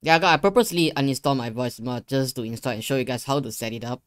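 A young man giving a casual software tutorial, talking himself through each step in an informal, rambling way. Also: mid-range voice, fast talker, dry recording, clean studio sound. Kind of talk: casual screen-share tutorial. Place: indoor living room gaming setup. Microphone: close desktop USB microphone.

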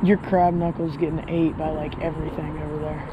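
A man's voice: fairly high pitch, fairly quick, dull audio. Laid-back man on a noisy action cam. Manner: relaxed offhand banter with a friend. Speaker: angler filming his fishing trip. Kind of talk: casual chat. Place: outdoors on a rocky shore under a bridge. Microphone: head-mounted action camera.

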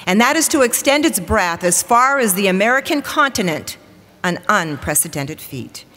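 A woman's voice: mid-range voice, expressive, normal speed, sharp tone. A woman in her forties, a TV weather reporter, giving an upbeat formal welcome speech from a lectern on stage and rallying the audience. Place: large hall, at a lectern on stage. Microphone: lectern microphone. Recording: long hall reverb.

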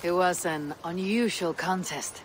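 A woman's voice: on the low side, a little slow, noisy background, close to an everyday voice. A woman playing a stoic, hardened Viking warrior in a video game, terse, stern and guarded. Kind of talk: dramatic video game acting.

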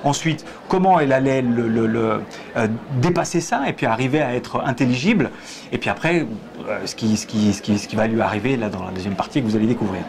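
A man in his forties explains his staging choices in a hesitant, searching way, often restarting his words. He speaks on a lapel mic in a theatre auditorium, with a crowd chattering clearly behind him. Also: medium pitch, fast. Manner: hesitant, many repeated starts, gestures while talking. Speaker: stage director and theatre head. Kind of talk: thoughtful interview answers. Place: theatre auditorium, in a box seat.

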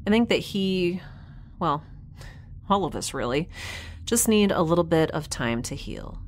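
A woman's voice: on the low side, expressive, unhurried, slight background noise. An actress playing a candid young woman scientist in a sci-fi audio drama, recording a rambling, hesitant log with dry wit. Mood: weary, wry. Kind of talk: audio diary narration in scripted drama.

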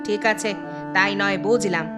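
Cartoon scheming elder wife, acted by a woman: sharp-tongued, domineering, medium pitch over music. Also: steady tone, normal speed, lightly acted. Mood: bossy. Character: cunning, greedy, bossy elder sister-in-law.

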